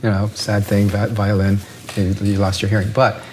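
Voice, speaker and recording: Expert guest, man, lowish voice, explains patiently with analogies, frequent "right?" checks. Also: monotone, normal speed, a little mellow, a little hiss or hum. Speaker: ear doctor guest. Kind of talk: explanatory interview talk.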